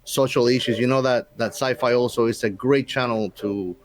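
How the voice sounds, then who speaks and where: A man's voice: mid-range voice, steady tone, moderate pace. A man being interviewed as a guest, explaining things in an informal, casual conversational way.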